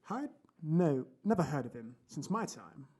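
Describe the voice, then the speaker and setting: A hearty older gentleman doctor acted by a man for an audio drama. His husky voice is very lively in pitch, and he speaks with emphatic, opinionated dismissiveness. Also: measured pace, heavily acted. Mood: dismissive.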